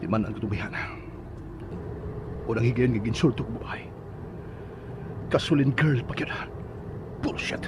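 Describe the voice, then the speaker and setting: A man's voice: mid-range voice, normal speed, long pauses, loud music behind the voice, close to an everyday voice. A Filipino-accented actor playing an arrogant, impatient, short-tempered man in a radio drama, grumbling and snapping. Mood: angry.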